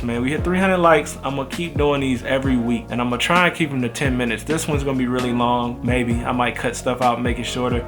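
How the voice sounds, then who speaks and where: A man in his twenties answering viewer questions in a relaxed, slangy vlog, talking straight to his audience. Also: mid-range voice. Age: 20s. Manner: laid-back, slangy, talks straight to viewers. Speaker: YouTuber. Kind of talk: casual Q&A vlog.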